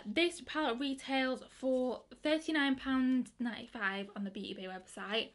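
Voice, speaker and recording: A young woman in her twenties chatting excitedly through a makeup review, with lots of fillers and restarts. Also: a little high. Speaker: beauty vlogger. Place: bedroom with colourful lighting.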